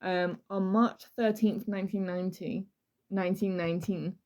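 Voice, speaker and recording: A woman's voice: medium pitch, steady tone, moderate pace, a little mellow. A woman hosting a true crime podcast, telling the story in an informal, jokey, conversational way.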